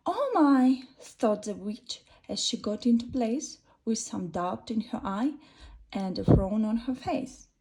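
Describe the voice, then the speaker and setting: A woman's voice: medium pitch, measured pace, warm tone, slight background noise. A woman reading a rhyming children's bedtime story in a sing-song way. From Russia.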